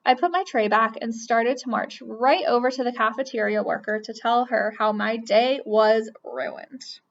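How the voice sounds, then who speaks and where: A woman reading a children's picture book aloud with expressive storytelling. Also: on the high side, creaky. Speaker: reader. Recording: dull lo-fi recording.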